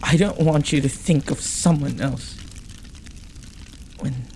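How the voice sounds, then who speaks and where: A man voicing a possessive, devoted demon lover in an intimate audio roleplay, husky and breathy, often dropping toward a whisper. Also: mid-range voice, a little mellow, slight background noise. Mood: possessive, earnest. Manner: slow, deliberate, dramatic pauses. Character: possessive lovestruck male demon, jealous, intense, devoted.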